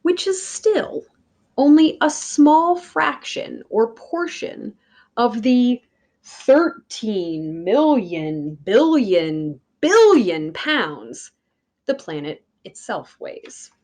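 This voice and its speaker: Female children's read-aloud voice, unhurried, very expressive intonation, emphatic teacher delivery. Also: on the high side. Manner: deliberate, emphatic phrasing addressed to young listeners. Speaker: teacher reading a picture book aloud.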